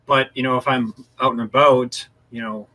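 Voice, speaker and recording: A man's voice: medium pitch, steady tone, moderate pace, bright, forceful tone, rough recording. A man co-hosting a gaming podcast, talking in a casual, conversational style. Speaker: podcast co-host.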